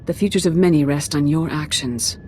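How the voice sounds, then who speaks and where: A woman playing a dignified, gracious Jedi council leader in a video game, formal and measured in manner. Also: low voice, a little mellow, soft background music, lightly acted. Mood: solemn.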